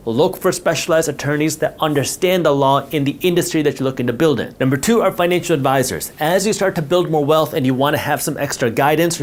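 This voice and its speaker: A man with a somewhat high voice explains wealth protection at a whiteboard. His first language is Punjabi. He talks with emphasis and repeats words to drive points home. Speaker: finance educator.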